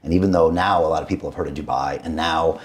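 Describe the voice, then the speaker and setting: A man's voice: rumbling low, steady tone, quick pace. A man hosting an interview, talking casually and emphatically, often repeating words. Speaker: podcast host.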